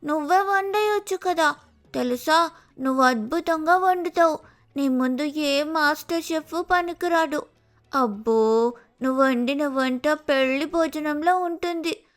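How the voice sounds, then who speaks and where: A woman's voice: high voice, unhurried. A woman voicing a lazy, pampered young female sparrow in a cartoon moral story, whining and wheedling dramatically.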